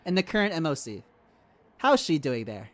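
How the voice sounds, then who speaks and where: A young man with a fairly high voice chats casually as he plays a game. He rambles in a loose, informal streamer manner and swears freely. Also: very animated, normal speed. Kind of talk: casual chat while playing.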